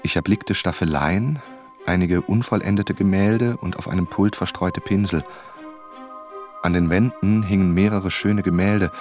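A man's voice: sits low, fairly even intonation, unhurried, darkish tone, soft background music. A man playing an eager, inquisitive novelist turned investigator in a radio drama, earnest and probing. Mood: tense narration. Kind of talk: radio drama narration and dialogue.

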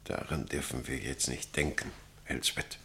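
Very deep, gravelly, solemn father. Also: fairly even intonation, pushed and bright, full character voice. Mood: subdued sorrow. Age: middle-aged. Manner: solemn, measured, subdued. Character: resigned, patient pastor, father of missing daughter. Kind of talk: German radio drama acting.

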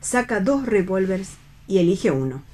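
A woman's voice: mid-range voice, lively intonation, unhurried, dark tone. A woman narrating a radio play, reading stage directions as scripted narration.

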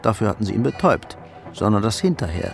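Cool crime narrator, gravelly, dry storytelling, radio play, music bed. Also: male voice, fairly low pitch, big pitch swings, fast talker. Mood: matter-of-fact.

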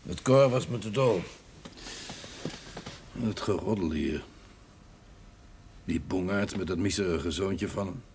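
Deep-voiced, cynical country doctor. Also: male voice, slow pace, long pauses, background noise. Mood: disdainful, grumbling. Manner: disdainful grumbling, clipped phrases. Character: cynical, educated male country doctor, an outsider. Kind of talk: television drama acting.

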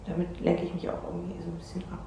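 An unsettled young woman in a radio drama. Her voice is slightly low, soft-toned and full of fry, and she hesitates and restarts as if unsure of herself. It is recorded in a roomy space. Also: completely flat delivery, rapid-fire. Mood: unsure, hesitant. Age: young adult. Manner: hesitant, self-interrupting. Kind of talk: naturalistic radio drama dialogue. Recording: roomy sound with faint noise.

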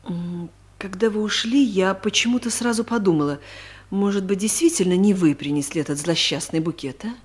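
An actress as a husky, awkward woman director. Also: medium pitch, moderate pace, lightly acted. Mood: awkward, contrite. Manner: hesitant, formal. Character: stern female director, awkwardly apologetic. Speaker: actor in an audio play. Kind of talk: radio comedy drama acting.

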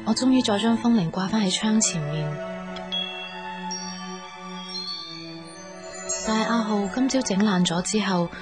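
A woman playing a gentle, affectionate young daughter in a radio drama, speaking conversational Hong Kong Cantonese. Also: medium pitch, natural voice. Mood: wistful. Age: young adult. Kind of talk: radio drama monologue and dialogue.

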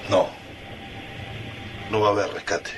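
A man's voice: lowish voice, steady tone, measured pace, a bit bright, a little hiss or hum. An actor playing an anxious, practical man caught in an alien invasion in a radio play, tense and colloquial. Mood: alarmed, bleak.

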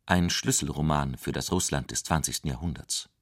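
A man reading literary quotations in a scripted, measured style. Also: a bass voice, breathy edge, no echo, studio quality. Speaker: reader of quotations.

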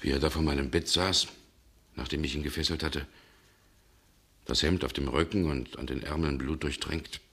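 An actor playing a brooding, haunted Russian gentleman in a German radio drama, speaking gravely and haltingly. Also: extremely low pitch, steady tone, heavily acted. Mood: grim, intense. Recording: 1960 radio recording, some background noise.

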